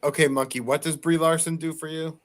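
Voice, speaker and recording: A man's voice: on the high side, fairly even intonation, fairly quick. Teasing man with a Boston accent. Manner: teasing, bantering, chuckles. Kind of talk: casual livestream chat.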